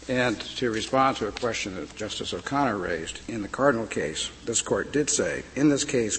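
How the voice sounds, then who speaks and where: A man with a soft voice arguing politely and carefully as a lawyer before a high court. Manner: polite, deferential, measured. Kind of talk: formal courtroom argument. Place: large courtroom. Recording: reverberant archival courtroom recording with faint noise.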